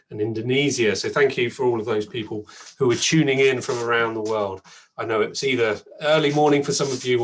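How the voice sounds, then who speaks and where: A man hosting an online event, chatty and thankful, with frequent ums and restarts. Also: mid-range voice. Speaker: event host.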